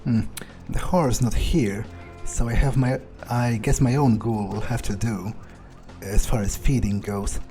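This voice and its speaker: A man roleplaying a cynical, foul-mouthed vampire in a video game, thinking aloud in a halting, brooding way. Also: fairly low pitch, fairly even intonation, warm tone, faint music behind, lightly acted. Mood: resolved. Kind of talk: improvised in-character game roleplay.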